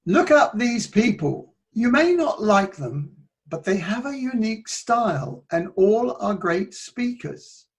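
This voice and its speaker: A man giving a motivational talk on public speaking, moving between loud and soft delivery as he tells stories. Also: high voice, big pitch swings, slow pace. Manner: shifts between loud and soft, playful with his voice.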